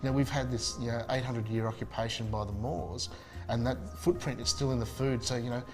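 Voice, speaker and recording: A man's voice: medium pitch, fairly even intonation, fairly quick, over music. A man, a chef chatting casually and enthusiastically in an interview, with many fillers and restarts. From Spain.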